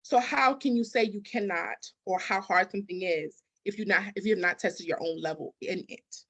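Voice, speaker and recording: A woman's voice: mid-range voice, moderate pace, a bit bright. A woman speaking as a guest expert in a conversational interview, emphatic, firing off rhetorical questions and acting out bits of dialogue. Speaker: interview guest, business consultant and grant expert.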